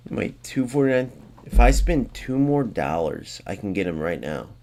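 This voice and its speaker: A man's voice: lowish voice, sing-song, normal speed, darkish tone. A man hosting a gaming channel, chatting casually to viewers and thinking aloud as he adds up points. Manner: thinks aloud, muttering sums to himself; chatty, addressing viewers as guys. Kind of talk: casual game commentary.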